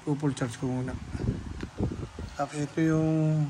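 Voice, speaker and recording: Behind clear background noise with clicks and vehicle sounds, a man speaks casually. His delivery is slow and halting, as if working things out aloud while showing a product. The pitch stays fairly flat throughout. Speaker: vlogger. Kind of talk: casual hands-on unboxing vlog narration.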